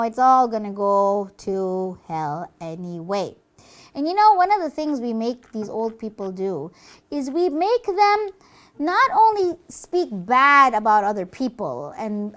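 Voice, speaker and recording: Woman as a high-pitched, gloating little puppet demon. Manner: chatty, gloating, theatrical. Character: boastful gleeful demon henchman of the devil. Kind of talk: puppet show dialogue.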